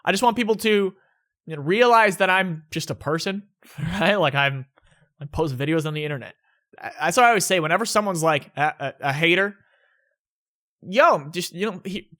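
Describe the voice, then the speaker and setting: Bright, rambling young man vlogging. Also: high voice. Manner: rambling, self-correcting, laid-back. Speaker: YouTuber answering viewer questions. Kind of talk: casual vlog Q&A. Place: indoors at home.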